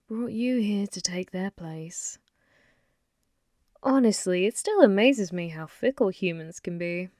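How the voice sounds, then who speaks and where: A woman playing a flirty, possessive, taunting female demon in an ASMR roleplay, slow and intimate, often slipping toward a whisper. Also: medium pitch, darkish tone, dry recording, studio quality.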